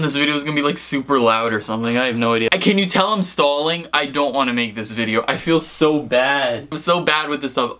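A man's voice: mid-range voice, fairly bright tone. A young American man chatting casually and hesitantly to camera and on the phone, peppering his talk with "like". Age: young adult. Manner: hesitant, rambling, heavy use of "like". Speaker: prank-call vlogger.

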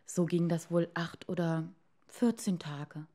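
A woman tells a fairy tale in a soft, mellow voice at an unhurried pace. Her reading is expressive and deliberate, shaped for children listening to a story. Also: on the low side. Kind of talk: scripted fairy-tale narration.

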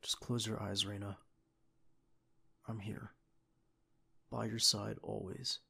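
A young man playing a devoted secret agent boyfriend in an audio roleplay, with a husky, gravelly voice and an earnest manner. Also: fairly low pitch, flat delivery, takes it slowly, bright, forceful tone, heavily acted. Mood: gentle, comforting. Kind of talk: ASMR boyfriend roleplay acting.

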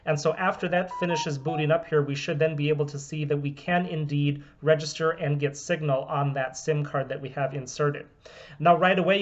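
A man in his thirties from the US, steadily explaining a phone unlock demo in a small room at home. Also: on the high side. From United States. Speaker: phone repair business owner. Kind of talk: product demonstration. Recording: dull, lo-fi camera audio.

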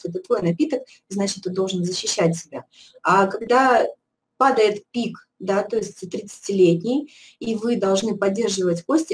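A woman's voice: mid-range voice, a little mellow, slight background noise, low-quality recording. A middle-aged woman giving a persuasive webinar presentation from an office over a webcam, with many fillers and restarts. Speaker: product presenter. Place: indoor office, video call.

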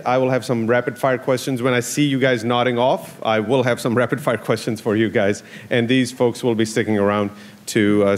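A middle-aged man at a podium microphone in a large conference hall, introducing a panel in a structured, announcing way. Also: mid-range voice. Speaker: panel moderator. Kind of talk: conference moderation.

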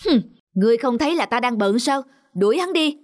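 A woman's voice: on the high side, animated, fairly quick, a little mellow, no echo, studio quality. A woman voicing a pompous, lazy, greedy male district magistrate in a cartoon folk tale. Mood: dismissive, greedy.

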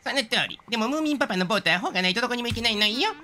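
A man voicing a small, sharp-tongued girl creature in a video game, brisk and commanding, with feminine phrasing. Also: very high-pitched, expressive, pitched above the performer's own voice, exaggerated voice. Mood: bossy, confident.

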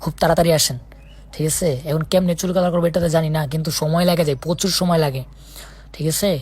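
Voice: mid-range voice, fairly even intonation, fast talker, darkish tone. A speaker with a Bangladeshi accent in a casual phone conversation, insistently checking again and again that the listener follows.

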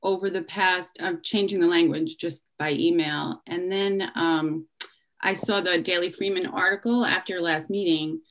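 A middle-aged woman giving public comment at a town meeting over a video call from home, speaking haltingly with many pauses and restarts. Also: on the low side, fairly even intonation, a bit bright. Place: home room indoors. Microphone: laptop webcam on a video call.

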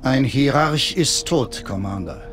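A man voicing an imperious, pompous alien high priest in a video game, solemn and authoritative. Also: expressive, a little slow, over music, natural voice. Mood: stern, weary. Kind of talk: cinematic video game acting.

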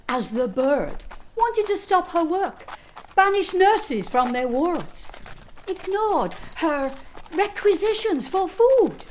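A woman's voice: fairly high pitch, slight background noise. A woman performing a devoted, plain-spoken Irish soldier telling his story earnestly in a dramatic poetry monologue. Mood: scornful, loyal.

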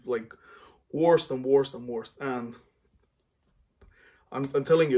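A man in his thirties from Iran, speaking earnestly and haltingly to camera in a small home room, often stopping to search for words. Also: mid-range voice, a little mellow. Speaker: vlogger.

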